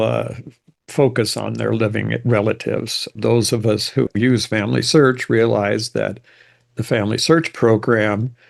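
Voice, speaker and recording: Older male presenter, informal lecture read, rambling and reflective, strong vocal fry, slightly low register. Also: darkish tone. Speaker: genealogy presenter.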